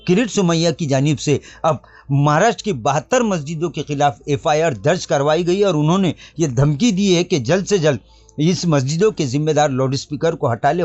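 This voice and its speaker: A man's voice: on the high side, animated, normal speed, darkish tone, no room sound, lo-fi sound, faint music behind. A man presenting a scripted news report in a formal register, addressing the viewers directly.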